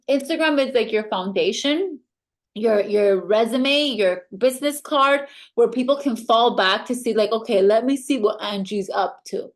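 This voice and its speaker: Woman, foreign accent, fairly high register, motivational team-call coach, emphatic delivery. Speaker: sales team mentor.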